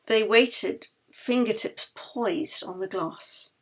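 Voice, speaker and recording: Slow, expressive woman narrator. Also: mid-range voice. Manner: measured, expressive. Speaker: author reading her novel. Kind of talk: audiobook narration.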